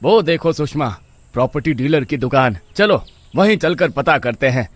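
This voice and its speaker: A man's voice: fairly high pitch, lively intonation, moderate pace, dry recording. A man playing an eager, trusting young husband from a village in a dramatised horror story, earnest and exclaiming. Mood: eager, polite.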